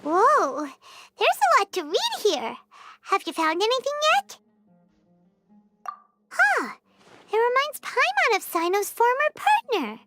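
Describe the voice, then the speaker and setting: A woman voicing a small, childlike floating fairy companion in an anime-style game, squeaky, excitable and blunt, talking about herself in the third person. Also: slow pace, pauses often, soft background music, heavily acted. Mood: curious. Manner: speaks of self in third person.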